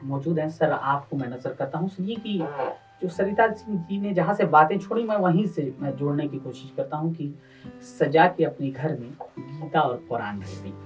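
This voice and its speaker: A man's voice: on the high side, lively intonation, moderate pace, distant mic. A man hosts a poetry gathering, heard a little away from the microphone with faint plucked-string music underneath. He delivers couplets and introductions in a declamatory style, repeating phrases for effect. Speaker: poet and host of poetry gathering. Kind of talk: Urdu-Hindi couplet recitation and formal introductions.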